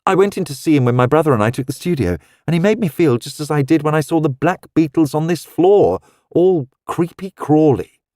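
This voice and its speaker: A man voicing a sensible, orderly young woman artist who speaks in a polite, brisk and frank way. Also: fairly high pitch, expressive, warm tone, no echo, studio quality, lightly acted. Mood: friendly.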